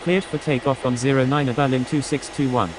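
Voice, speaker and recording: A man's voice: medium pitch, fairly even intonation, brisk, background noise, close to an everyday voice. A man playing an airline pilot in a flight game, making clipped, procedural radio calls. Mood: businesslike. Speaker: airline pilot on air traffic control radio. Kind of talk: scripted radio phraseology.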